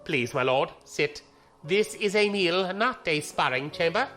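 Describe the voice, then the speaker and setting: A man's voice: a little high, expressive, unhurried, pushed and bright, faint music behind. A man playing a courteous imperial navy commodore in a science fiction audio drama, genteel, diplomatic and formal. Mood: politely commanding.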